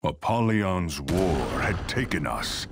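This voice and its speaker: Battle-hardened Viking narrator, extremely low voice, slow solemn delivery, loud sea noise behind. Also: male voice, lively intonation, full character voice. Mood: grave, resolute. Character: grave battle-hardened male Viking warrior recounting a war. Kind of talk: solemn cinematic game narration.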